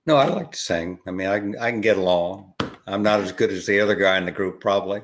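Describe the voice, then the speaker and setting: Low-voiced older man, halting chat. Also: a little hiss or hum. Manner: rambling, halting with many fillers and restarts. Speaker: podcast guest, ukulele educator. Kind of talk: relaxed conversational interview.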